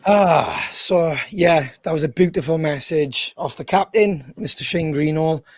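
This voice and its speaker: A hoarse, croaky man hosting his band's podcast in a chatty way, with frequent ums. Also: a little high, a little slow, no room sound, studio quality. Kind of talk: casual chat.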